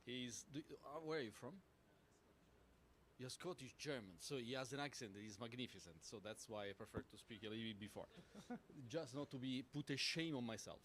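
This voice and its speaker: A man with an Italian accent, a native Italian speaker, answering as a panelist, halting and searching for words with many fillers. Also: medium pitch, fairly bright tone, a little hiss or hum. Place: conference hall panel.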